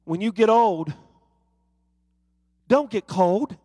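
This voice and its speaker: A man's voice: sits high, lively intonation, takes it slowly, dark tone, dry recording, clean studio sound. A man preaching a sermon, building to fervent, rhythmic refrains.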